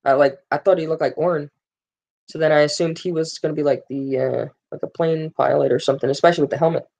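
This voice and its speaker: A man's voice: a little high, steady tone, quick pace, gravelly, darkish tone. A man hosting a casual gaming live stream, rambling with plenty of fillers and joking with his viewers. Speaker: gaming livestream host.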